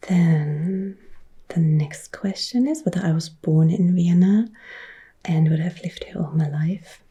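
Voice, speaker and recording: A woman in her thirties from Upper Austria with an Austrian German accent, softly whispering and quietly answering viewer questions as an ASMR host. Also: lowish voice, unhurried, husky. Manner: soft whispering, mixed with quiet speech. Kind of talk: ASMR Q&A chat. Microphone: close-up sensitive ASMR mic.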